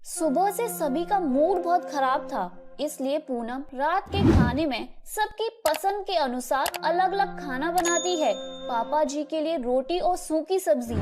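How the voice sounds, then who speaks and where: A woman narrating a scripted children's storybook tale. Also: very high-pitched. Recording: music playing behind the voice.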